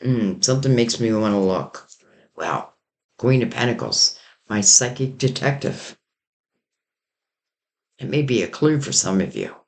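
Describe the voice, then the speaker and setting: Man, tarot reader, slow delivery, hoarse, voice giving out, meandering casual talk. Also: medium pitch, animated, darkish tone. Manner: rambling, loose and intuitive, many "like" and "you know". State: losing his voice, hoarse. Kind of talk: casual spoken tarot reading.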